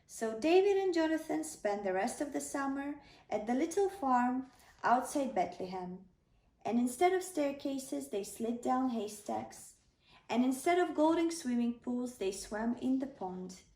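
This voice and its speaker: A woman's voice: high-pitched, expressive, measured pace, darkish tone. A woman narrating a children's bedtime story, reading aloud as a storyteller. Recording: roomy, slightly distant microphone.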